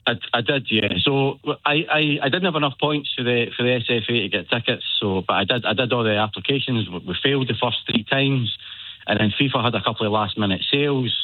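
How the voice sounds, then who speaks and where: A Scottish man in his forties calling a radio show by phone, excited and rambling with many restarts. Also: medium pitch, a little fast, no echo. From Scotland. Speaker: caller. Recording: phone line.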